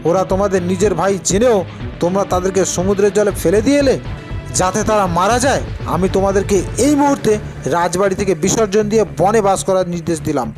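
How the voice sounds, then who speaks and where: An authoritative storybook king acted out by a male narrator, stern and high in pitch. Music plays behind the voice. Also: fairly quick, close to an everyday voice. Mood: stern anger.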